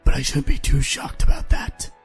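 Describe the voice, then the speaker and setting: A man's voice: normal speed, faint music behind, natural voice. A man playing a confident, smug and mocking fighter in an audio drama. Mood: smug, amused.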